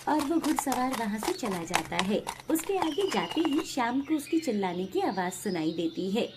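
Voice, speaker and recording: A woman's voice: fairly high pitch, sing-song, moderate pace, background noise. A woman reading a scripted moral story as its narrator.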